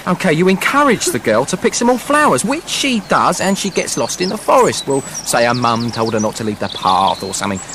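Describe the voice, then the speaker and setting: A man's voice: mid-range voice, big pitch swings, brisk, hardly any pauses, noisy background, natural voice. An actor playing a fussy, self-important writer narrating his fairy tale in a comic radio play, florid and testy. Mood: flustered, exasperated.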